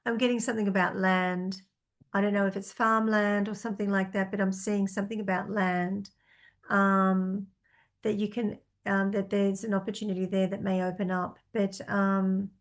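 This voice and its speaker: A woman's voice: mid-range voice, flat delivery, normal speed. A woman giving psychic readings to viewers, reading out their questions and answering tentatively, with many fillers as she thinks aloud. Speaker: psychic reader.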